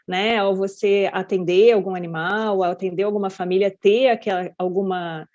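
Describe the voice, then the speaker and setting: A woman's voice: mid-range voice, fairly even intonation, moderate pace, darkish tone. Woman host, Brazilian, chatty questioner, slightly muffled lo-fi audio. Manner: chatty, rambling questions with frequent "né". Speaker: podcast host. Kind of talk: interview questions. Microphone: video call.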